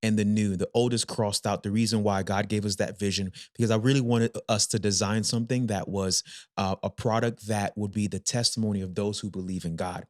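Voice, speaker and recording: A man's voice: fairly low pitch, a little fast, no echo, clean studio sound. Emphatic man co-hosting a faith podcast. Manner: energetic, emphatic, repeats points. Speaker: podcast co-host. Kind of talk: casual faith-based advice chat.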